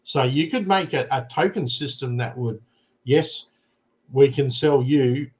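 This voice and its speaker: A man's voice: medium pitch, unhurried. An Australian man hosting a casual talk show, explaining things plainly and often checking in with "right?". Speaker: show host. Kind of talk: casual chat.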